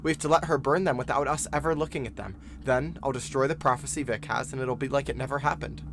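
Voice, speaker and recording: A man's voice: medium pitch, fast talker, close to an everyday voice. A man acting in an audio drama as a bookish, insistent young male student with a pressing, controlling manner. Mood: urgent, persuasive. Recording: noticeable background noise and ambience.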